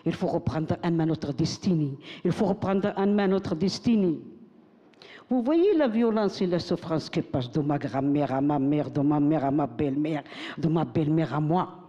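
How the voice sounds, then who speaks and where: A woman's voice: sits low, expressive, normal speed, dark tone, lo-fi sound. Older woman chanting in a hall. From Morocco. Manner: rhythmic, emphatic, repeats phrases like a chant. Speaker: poet reciting her own text. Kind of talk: spoken-word recitation to an audience.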